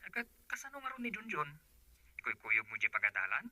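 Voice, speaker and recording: Casting: practical young family provider, lively mid-pitched male voice, forward and bright, radio drama. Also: fast talker, no echo, exaggerated voice. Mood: caring. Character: husband working in the city, practical provider. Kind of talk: Ilocano radio drama acting.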